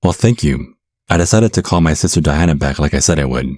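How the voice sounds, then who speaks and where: A man's voice: a bass voice, expressive, fast talker, darkish tone, dry recording, clean studio sound. A man acting a giddy, rattled young man in an audio drama, sometimes rambling and stammering. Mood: reflective. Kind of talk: audio drama narration and phone dialogue.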